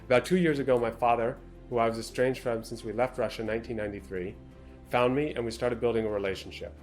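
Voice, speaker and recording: A man's voice: medium pitch, fairly even intonation, fast, soft background music. A man co-hosting a casual talk show, reading out a viewer's letter and adding dry, matter-of-fact comments between passages. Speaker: co-host.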